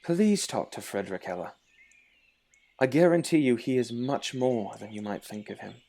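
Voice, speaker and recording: A man playing a loyal, calm royal attendant in an amateur fairytale audio drama, speaking in a measured, reassuring way. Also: mid-range voice, lightly acted.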